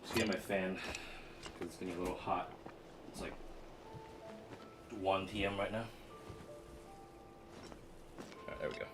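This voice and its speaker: A man's voice: low-pitched, flat delivery, unhurried, long pauses. A man giving casual, slangy, reactive commentary while streaming a game. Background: game music.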